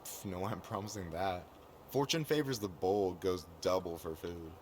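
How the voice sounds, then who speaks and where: A man's voice: deep voice, moderate pace, close to an everyday voice. A man playing a friendly, joking young aspiring cook in casual video game dialogue. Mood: playful. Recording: noisy game capture.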